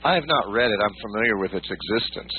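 A man hosting a live Bible call-in radio show, explaining in a measured way with a husky morning voice. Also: on the low side, animated. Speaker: radio host. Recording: muffled lo-fi radio, humming noise.

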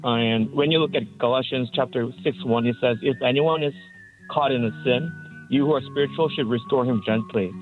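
A man's voice: medium pitch, brisk, soft background music. A man with a Korean accent calling in to a radio show, asking a question carefully and politely. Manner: careful, polite, reads verses aloud. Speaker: caller. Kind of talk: phone-in question. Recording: tinny phone line.

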